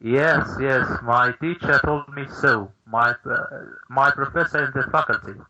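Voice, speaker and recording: A man's voice: medium pitch, measured pace, pushed and bright, highs cut off. A man calling in, speaking hesitantly with stumbles. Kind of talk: caller in a chat.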